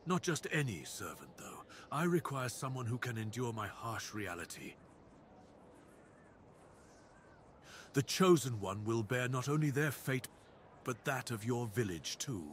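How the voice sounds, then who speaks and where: A man's voice: mid-range voice, lively intonation, moderate pace, a bit bright, slight background noise, lightly acted. A man voicing a proud, menacing dire wolf beast in an ASMR roleplay, speaking with grave, commanding, theatrical deliberation. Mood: stern, bargaining.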